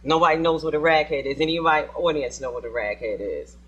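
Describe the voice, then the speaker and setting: A man's voice: a little high, quick pace, fairly bright tone, husky, highs cut off. A man hosting a studio panel discussion in front of an audience, asking probing questions in an informal way and repeating guests' answers back to them. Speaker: talk show host.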